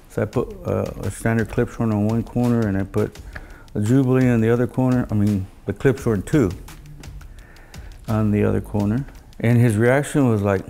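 An older man telling stories in a casual, halting way, as an engineer looking back in an interview. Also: fairly low pitch, vocal fry, warm tone. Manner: halting, anecdotal storytelling with quoted dialogue. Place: living room of a home, indoors.

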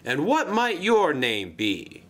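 A man's voice: a little high, huge pitch swings, takes it slowly, fairly bright tone, voice pushed up, heavily acted. A man playing a pompous, polite older railway controller in a children's story, formal and effusive. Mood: hearty, eager. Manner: formal, effusive, flustered when surprised.

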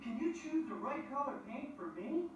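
A woman voicing a small, eager young male engine, talking excitedly. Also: high voice, moderate pace, lo-fi sound. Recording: echoey and distant, with background noise.

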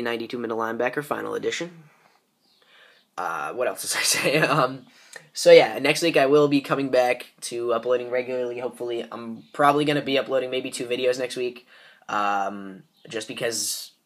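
A man's voice: mid-range voice, a bit bright. A teenage boy hosting a gaming channel, sick and stuffed up with a sore throat, rambling casually with lots of fillers while opening card packs. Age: teens. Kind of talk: casual pack-opening commentary.

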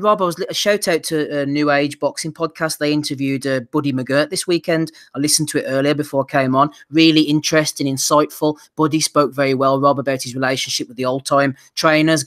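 A man hosting a relaxed boxing chat podcast, his voice sitting a little higher than most men's. He moves things along briskly and reads out listener questions, and he sounds slightly hoarse. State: losing his voice. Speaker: podcast host.

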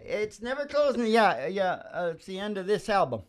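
A man's voice: high-pitched, big pitch swings, moderate pace. An older man, around his sixties, hosting with rambling, joking casual banter between songs into a close stand mic. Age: older, about 60s. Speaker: musician and show host. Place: small wood-panelled room, indoors. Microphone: stand microphone, close. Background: acoustic guitar.

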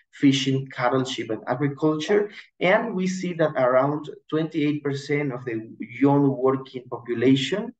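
A man in his thirties from Ecuador, giving a measured panel presentation over a video call, with frequent restarts. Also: medium pitch, darkish tone, highs cut off. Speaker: education manager, panelist. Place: indoor room. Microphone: video call webcam.

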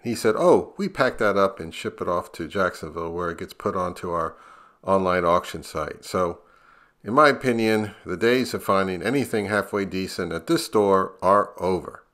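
A man's voice: deep voice, moderate pace, a bit bright. A middle-aged American man narrating a casual vlog in a wry, conversational way. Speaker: audio gear YouTuber.

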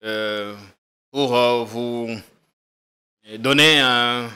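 A man in his thirties hosting a political talk show in a TV studio, questioning his guest briskly. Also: medium pitch, fairly even intonation, sharp tone. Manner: brisk, probing interviewer. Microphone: desk microphone.

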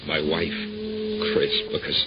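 A man playing a dejected, simple betrayed husband in a 1940s radio drama, halting and wistful. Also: rumbling low, big pitch swings, heavily acted. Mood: dazed. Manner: halting, subdued. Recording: muffled 1940s radio recording, faint noise.

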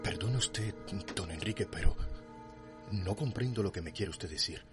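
An actor playing an anxious, principled doctor in a radio drama, formal and protesting, with a Cuban accent and husky voice. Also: male voice, deep voice, moderate pace, over loud music, close to an everyday voice. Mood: indignant protest.

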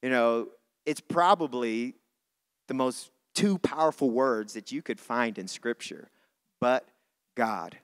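A man's voice: medium pitch, takes it slowly, smooth. A man preaching a church sermon in an informal, conversational way, repeating phrases for emphasis. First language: not English (says English is not his first language).

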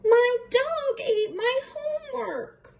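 A woman voicing a mischievous, defensive little boy from a storybook, wailing drawn-out excuses. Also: very high voice, expressive, vocal fry, darkish tone, slight background noise, voice pushed up, exaggerated voice. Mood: defensive whining. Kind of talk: storybook read-aloud character voice.

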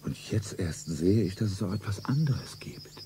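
Ein Schauspieler spielt in einem Hörspiel einen reichen, lebensmüden Geschäftsmann als zärtlichen, feierlich sentimentalen Liebhaber. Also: male voice, fairly low pitch, animated, normal speed, dark tone, slight background noise, natural voice. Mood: earnest, evasive. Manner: sentimental, solemn, self-narrating. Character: rich, world-weary businessman, solemnly tender lover. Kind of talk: German radio play acting.

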